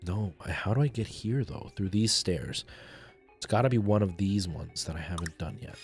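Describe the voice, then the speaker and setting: A man's voice: low voice, animated, normal speed, faint music behind. A man chatting casually and enthusiastically with viewers as he hosts a video game livestream. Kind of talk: casual livestream chat while playing a game.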